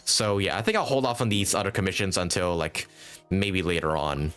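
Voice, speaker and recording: A man's voice: low voice, brisk, fairly bright tone, faint music behind. A man giving casual, rambling live commentary as he streams a game, thinking aloud and chatting to viewers. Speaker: game livestreamer.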